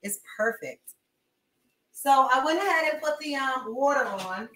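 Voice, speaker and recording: Young female cooking streamer, bright and higher-pitched, loose conversational delivery to viewers, recorded in a roomy, echoing kitchen. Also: expressive, measured pace, a little hiss or hum. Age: young adult. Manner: informal, slangy, chatting to viewers while moving around. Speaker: cooking livestream host. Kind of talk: casual chatty livestream while cooking. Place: home kitchen, indoors. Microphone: webcam-style mic at arm's length or farther.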